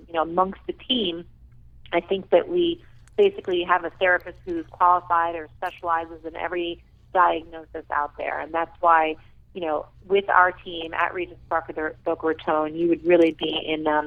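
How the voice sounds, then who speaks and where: A woman guest on a radio interview, talking about her work with earnest enthusiasm and frequent ums. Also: deep voice. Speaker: rehabilitation director, guest. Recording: tinny phone line.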